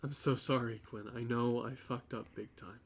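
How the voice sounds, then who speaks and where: Guy acting guilty young boyfriend in an audio drama, mid-pitched, defensive, kinda halting and pleading. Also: fairly even intonation, breathy edge. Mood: remorseful.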